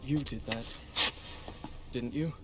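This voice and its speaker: A man's voice: mid-range voice, slow pace, over loud music, close to an everyday voice. A man playing a proud young prince in a video game cutscene, guarded, guilt-ridden and wryly teasing.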